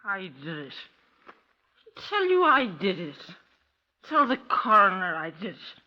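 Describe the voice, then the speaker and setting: A woman's voice: medium pitch, sing-song, a little slow. An actress playing a blunt, insistent, tipsy middle-aged woman in a vintage radio crime drama. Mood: defiant, insistent. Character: defiant drunken middle-aged widow confessing murder. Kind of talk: 1950s radio drama acting. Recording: dull old radio recording.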